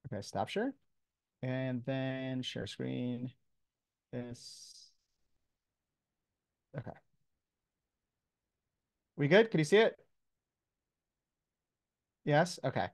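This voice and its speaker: A man's voice: medium pitch, lively intonation, takes it slowly, long pauses, no echo, clean studio sound. A man with an American accent giving an informal online lecture as a professor, in a casual, colloquial, explanatory manner. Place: video call, close dry sound.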